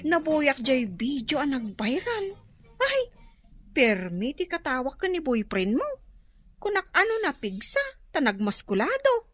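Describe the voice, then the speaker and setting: Wildly animated, gossipy young friend. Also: female voice, high-pitched, measured pace, full character voice. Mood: gossipy, amused. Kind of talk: comedic radio drama acting.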